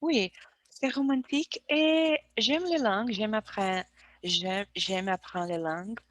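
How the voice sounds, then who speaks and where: Woman, learner of French, very slow and hesitant, dry close sound. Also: medium pitch, dry recording. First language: Portuguese. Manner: hesitant, searches for words, repeats herself. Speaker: language learner. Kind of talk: conversational language practice.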